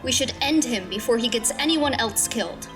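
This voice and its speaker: A woman playing a hot-headed, distrustful alien fighter, sharp and scornful, in a sci-fi game fan dub. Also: fairly high pitch, animated, moderate pace, music in the background, lightly acted. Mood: hostile. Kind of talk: visual novel fan dub acting.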